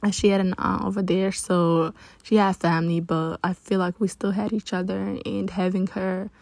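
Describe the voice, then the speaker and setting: Young woman with gravelly vocal fry. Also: mid-range voice, little pitch movement, moderate pace, darkish tone, no echo. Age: early 20s. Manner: rambling, chatty, many restarts. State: voice lower than usual from being up long. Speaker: podcast host. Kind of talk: casual solo podcast chat.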